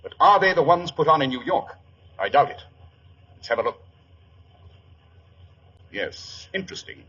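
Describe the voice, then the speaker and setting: An actor playing a sharp-witted, self-assured detective in an old-time radio drama, speaking in a clipped, commanding way. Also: male voice, fairly high pitch, sing-song, moderate pace, slightly rough, full character voice. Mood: intent, probing. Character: brisk, confident, sharp-witted male detective, coolly superior. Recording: dull vintage radio recording, muffled.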